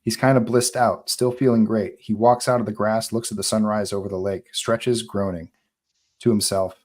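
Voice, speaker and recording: A man with a fairly low voice reads screenplay action and scene headings aloud. He narrates for a live table read. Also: little pitch movement, normal speed, a little mellow. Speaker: narrator reading stage directions.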